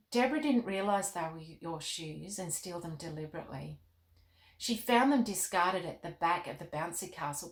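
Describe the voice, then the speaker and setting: A woman reading the part of a gentle, wise, fatherly storybook king in a calm, measured, reassuring way. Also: on the low side, natural voice. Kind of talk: storybook read-aloud. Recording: roomy, echoing indoor sound.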